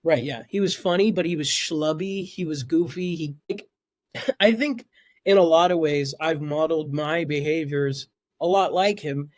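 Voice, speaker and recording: A man in his twenties, a guest on a podcast, with a high voice, talking in a halting way and repeating his words. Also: no room sound, clean studio sound. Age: 20s. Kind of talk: casual podcast chat.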